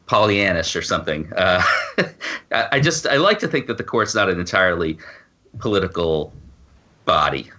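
A man's voice: low-pitched, sharp tone, highs cut off. A middle-aged man talking casually as a podcast guest, stammering a little and thinking aloud as he answers. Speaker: guest novelist and law professor. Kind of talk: casual conversational podcast interview.

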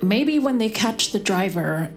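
A woman's voice: medium pitch, animated, a little slow, soft background music. An actress playing a grieving, guarded mother in an audio drama, with an American accent and a hesitant, subdued manner. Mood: grieving, weary. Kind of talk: naturalistic audio drama acting with narration.

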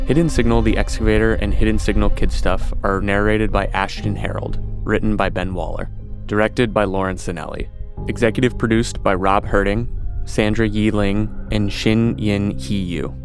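A man reading podcast credits in an even, measured announcing manner. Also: lowish voice. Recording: music bed underneath.

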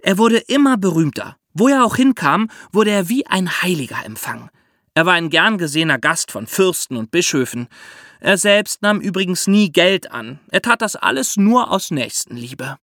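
Bright-voiced man telling history. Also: sits high, expressive, moderate pace, no echo, clean studio sound. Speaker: podcast host. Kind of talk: conversational history storytelling.

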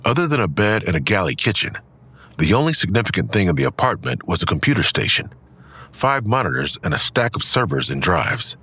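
A man with a raspy voice delivering scripted dramatic narration for an audio drama. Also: low voice, big pitch swings, brisk, sharp tone, exaggerated voice.

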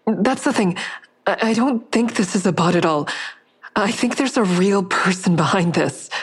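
An actress playing a tired, insistent woman in an audio drama, blunt and earnest, with a husky voice. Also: medium pitch, moderate pace, close to an everyday voice. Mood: urgent, earnest.

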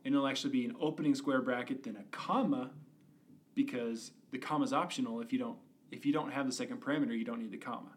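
A man's voice: medium pitch, fairly even intonation, quick pace. A man co-hosting a podcast who patiently explains coding documentation step by step in casual chat. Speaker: podcast co-host, experienced developer.

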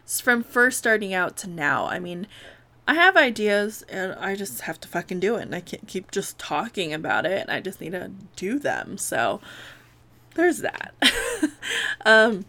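A woman's voice: medium pitch, big pitch swings, measured pace, creaky, bright, forceful tone. A woman in her late twenties hosting a casual solo podcast, rambling with lots of fillers, swearing freely and laughing often. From United States. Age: late 20s. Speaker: podcast host.

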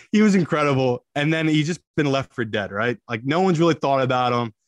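Male betting analyst and co-host. Medium pitch, blunt, opinionated, repeats for emphasis. Dry, close recording. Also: animated, normal speed, no echo. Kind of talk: casual sports betting chat.

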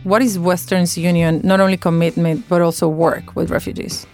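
A woman's voice: fairly low pitch, flat delivery, normal speed, darkish tone, faint music behind. A woman presenting and interviewing, narrating and asking engaged, probing questions.

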